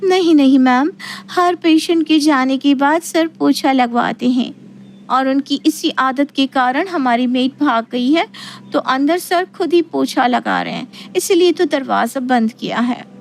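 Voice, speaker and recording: Casting: woman as young receptionist, high, lifted voice, polite and apologetic, audio storybook. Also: unhurried, a little hiss or hum.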